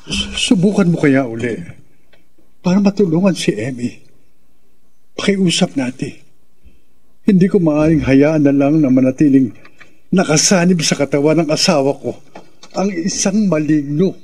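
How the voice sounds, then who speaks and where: A man acting a desperate husband of a possessed wife, pleading earnestly with a husky voice in a radio drama. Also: a little high, a little slow, pauses often, darkish tone.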